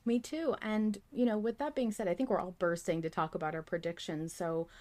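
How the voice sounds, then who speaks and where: A woman's voice: mid-range voice, steady tone, a little fast, darkish tone. A woman hosting a podcast in a casual, conversational style. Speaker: podcast host.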